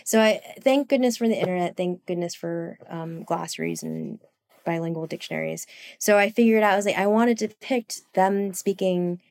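A woman's voice: lowish voice, normal speed, gravelly, darkish tone. Chatty woman guest with many fillers. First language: Cantonese. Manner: rambling, many fillers, laughs often. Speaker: guest, comics artist. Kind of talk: casual interview chat.

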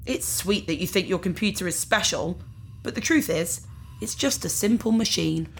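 A woman's voice: fairly low pitch, moderate pace, gravelly, a little hiss or hum. A woman playing a cold, dismissive captor aboard a spaceship in a science fiction audio drama, haughty in manner. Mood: cold, condescending.

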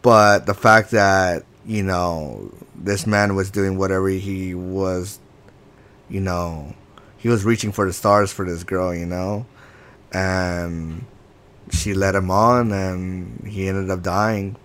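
A man's voice: sits low, fairly even intonation, slow, fairly bright tone. A man in his twenties chatting casually with friends, teasing and laughing often. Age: 20s. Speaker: podcast co-host. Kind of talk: casual chat.

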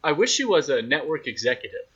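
Bright, forceful male announcer, slightly lo-fi. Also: a little high, big pitch swings, quick pace. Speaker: podcast guest, hockey radio play-by-play announcer. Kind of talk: casual interview chat. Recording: slightly dull, lo-fi audio.

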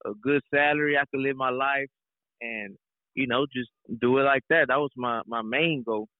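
A man's voice: mid-range voice, normal speed, no room sound. Young man on a tinny phone line. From Mexico, raised in Houston, Texas. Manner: peppers talk with "you know". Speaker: guest, rapper and reggaeton singer. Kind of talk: casual interview chat.

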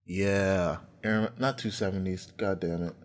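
A man's voice: deep voice, fairly even intonation, measured pace, a little hiss or hum. A man hosting a podcast in casual chat, rambling with lots of fillers and swearing. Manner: rambling, profane, filler-heavy. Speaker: podcast host.

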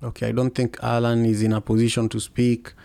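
Man, podcast host, flat steady delivery, dry close sound, lots of fillers and restarts. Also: medium pitch, gravelly, no echo. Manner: relaxed, halting, many fillers and restarts. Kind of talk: casual live audio-room chat.

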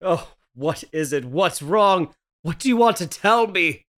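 A man playing a nervous, secretive young husband in a table read of an old radio horror play, halting, repetitive and defensive. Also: high voice, animated, fairly bright tone. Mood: nervous, evasive.

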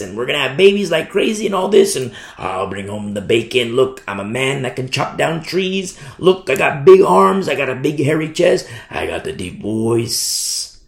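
A man's voice: a little high, creaky. A man voicing a boastful, self-important macho man in a mocking singsong. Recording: roomy echo.

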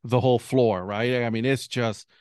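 A man from Puerto Rico hosting a podcast, chatty and quick to laugh, often restarting his sentences. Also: medium pitch, steady tone, a little mellow, clean tone, no echo, clean studio sound. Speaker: podcast host. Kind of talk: casual podcast interview.

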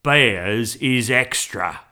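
A man voicing a gruff, bitter London taxi driver in a storybook, growling slowly and grudgingly. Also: medium pitch, lively intonation, sharp tone, heavily acted. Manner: slow, grudging delivery.

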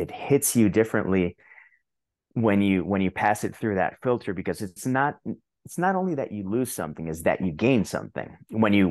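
A man's voice: on the low side, no room sound. A man from Puerto Rico with a Puerto Rican accent, telling stories animatedly as a guest on a podcast interview. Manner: animated storytelling, frequent restarts. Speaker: guest, translator and author. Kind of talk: conversational interview.

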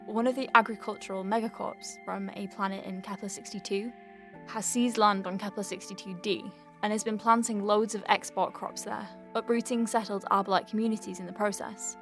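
A woman's voice: medium pitch, natural voice. A woman playing an earnest, wry doctor in an audio drama, thinking aloud in a rambling, conversational way as if dictating notes. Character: earnest young woman alien-clinic doctor, flustered and wry. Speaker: doctor dictating a patient letter.

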